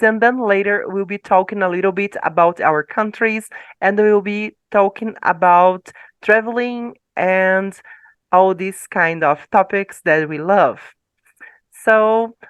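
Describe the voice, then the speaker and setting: A woman's voice: medium pitch, smooth, dry recording. A woman English teacher hosting an online speaking club, upbeat and welcoming as she calls on each participant. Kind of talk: friendly hosting on a video call.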